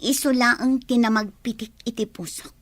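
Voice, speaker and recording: Actress as lovestruck daughter, husky yet higher voice, Ilocano accent, pleading, radio drama. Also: female voice, normal speed, a bit bright, no room sound.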